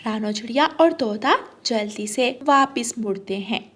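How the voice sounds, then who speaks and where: A woman with a very high voice narrates a children's story. She delivers it as a scripted storyteller. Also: measured pace, fairly bright tone.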